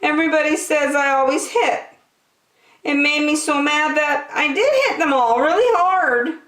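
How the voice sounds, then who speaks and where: A woman voicing an upset little boy hamster who hits his friends, in a high, whiny childlike voice. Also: normal speed, fairly bright tone, echoey room.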